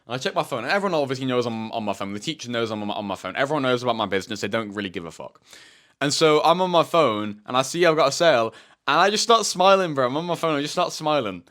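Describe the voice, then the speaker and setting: A seventeen-year-old man telling a rambling, casual story, with a fairly deep voice, swearing often and saying "bro" and "like" a lot. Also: animated, quick pace, fairly bright tone. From United Kingdom. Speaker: self-improvement YouTuber.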